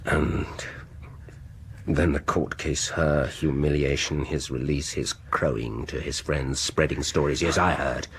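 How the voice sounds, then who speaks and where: An actor playing a sinister, vengeful male dentist in a radio horror drama, gloating and deliberate, lingering on words and dropping into whispers. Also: very deep voice, fairly even intonation, husky, full character voice. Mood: bitter accusing.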